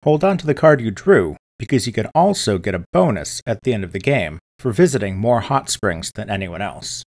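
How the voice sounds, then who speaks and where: Man, scripted how-to narration, step-by-step delivery, some vocal fry, very dry close recording. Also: mid-range voice, dry recording. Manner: clear, methodical, step-by-step explaining. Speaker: board game tutorial presenter.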